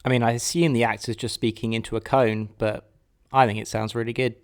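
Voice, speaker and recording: A man's voice: medium pitch, little pitch movement, fairly quick, smooth. A man, hosting a podcast with scripted personal narration. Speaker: podcast host.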